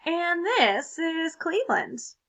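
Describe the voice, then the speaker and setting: A woman reading a children's picture book aloud in an expressive way, with casual asides to young listeners. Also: very high voice, slow pace. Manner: expressive, child-directed storytelling. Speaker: read-aloud storyteller.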